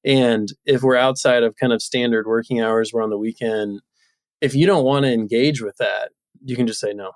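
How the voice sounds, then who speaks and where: A man's voice: mid-range voice, fairly even intonation, normal speed, dry recording. Man chatting reflectively, dry close sound. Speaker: podcast guest, entrepreneur. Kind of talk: casual reflective interview talk.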